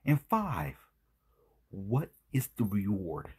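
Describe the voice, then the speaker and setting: A man with a low, very husky and breathy voice talks through an informal video commentary. His pitch swings widely as he stresses points and poses rhetorical questions. He takes his time, often halting and repeating himself. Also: very animated. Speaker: host.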